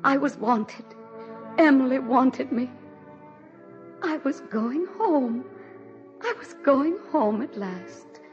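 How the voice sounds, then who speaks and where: An actress playing an aging, weary, grieving presidential widow in theatrical, emotional 1940s radio drama style. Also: female voice, high-pitched, animated, soft background music. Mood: tearful joy. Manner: theatrical, emotional, drawn-out phrasing. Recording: dull vintage 1940s radio recording.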